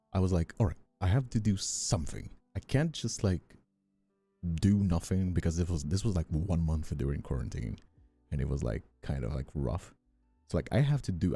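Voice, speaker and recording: A man's voice: low voice, mellow, husky, no echo. A young man from Brazil chatting casually, telling stories in a rambling, self-deprecating way. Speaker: ASMR voice actor and streamer. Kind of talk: casual chat.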